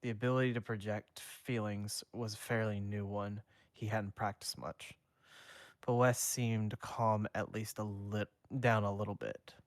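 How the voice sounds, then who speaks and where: A man streamer reading a fanfic aloud, haltingly, with frequent self-corrections. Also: on the low side, level tone, no room sound, clean studio sound. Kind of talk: fanfic narration, read live.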